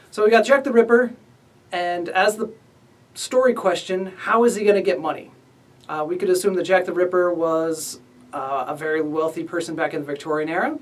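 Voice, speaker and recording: A man's voice: a little high, distant mic. A man hosting a casual writing lesson as the instructor. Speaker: writing instructor and series host.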